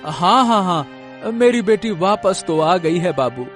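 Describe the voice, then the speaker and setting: Man acting a high-pitched, deferential village underling. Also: animated, a little slow, a bit bright, soft background music. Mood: nervous, pleading. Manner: deferential, hesitant, rustic. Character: timid, deferential village men: henchman and anxious farmer father. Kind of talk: Hindi cartoon story acting.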